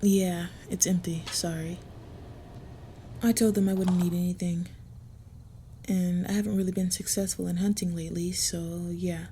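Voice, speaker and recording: A woman playing a protective, smitten female vampire in an intimate roleplay audio, speaking softly, gently and a little hesitantly. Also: lowish voice, flat delivery, moderate pace, slight background noise, lightly acted. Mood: gentle, awkward.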